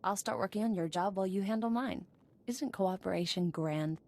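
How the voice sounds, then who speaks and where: A woman's voice: mid-range voice, normal speed, vocal fry, a little mellow, lightly acted. A woman playing a tough, sardonic smuggler running a warehouse in a video game, blunt and wry. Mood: businesslike.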